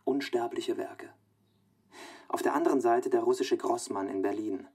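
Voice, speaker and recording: An actor playing a studious young man dictating research notes in a radio drama, clipped, analytical and deliberate. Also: medium pitch, a little mellow, husky.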